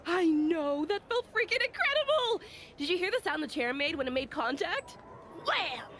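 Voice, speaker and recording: Young female wrestler, game cutscene: vengeful, excitable, high swooping pitch. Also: moderate pace, a little hiss or hum, full character voice. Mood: excited, defiant. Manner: excitable, emphatic, colloquial. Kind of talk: video game cutscene acting.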